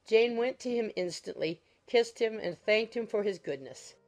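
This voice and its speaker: A woman's voice: medium pitch, expressive, normal speed, a little mellow. A woman reading scripted narration of a classic novel as an audiobook narrator. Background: music.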